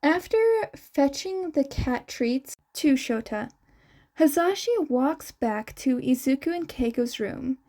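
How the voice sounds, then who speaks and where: Hoge, levendige vrouwelijke voorlezer. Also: female voice, high-pitched, mellow. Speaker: podfic narrator. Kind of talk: reading a fan fiction story aloud.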